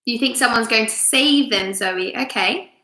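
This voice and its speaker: A woman's voice: a little high, moderate pace. A woman who works as a teacher, reading a story aloud on a live stream and chatting expressively with her young listeners. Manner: expressive, asks listeners questions. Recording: roomy, echoey room sound.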